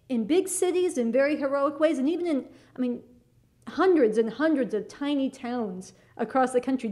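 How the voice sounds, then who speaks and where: High-voiced, emphatic American woman. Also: darkish tone. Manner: earnest, emphatic, urgent. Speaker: show co-host. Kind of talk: political talk to the audience.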